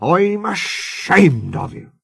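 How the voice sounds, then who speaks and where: A man's voice: high voice, very animated, slow pace, vocal fry, slightly rough, dry recording, clean studio sound, pitched above the performer's own voice, full character voice. A man voicing an old steam engine in a children's story, stern, proud and plucky, with effortful delivery. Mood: stern scolding. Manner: scolding, panting, effortful.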